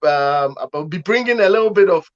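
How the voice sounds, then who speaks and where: Man from Ghana, pastor on a panel, earnest preaching tone, a bit high-pitched, dull muffled call sound. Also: lively intonation, a little fast. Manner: earnest, preaching, repeats words when starting phrases. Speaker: pastor and guest speaker. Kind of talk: online panel discussion.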